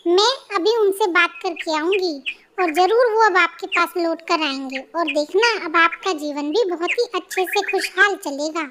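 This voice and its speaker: A woman's voice: very high-pitched, normal speed, background noise. A woman voicing a kind, dutiful young mother bird in a children's cartoon story, speaking earnestly, politely and reassuringly.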